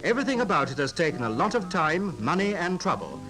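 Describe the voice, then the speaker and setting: Old timey british newsreel announcer guy, bit high voiced, formal and declamatory, music under him. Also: sing-song, fast talker. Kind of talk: 1950s newsreel scripted narration. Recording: 1950s archive newsreel soundtrack.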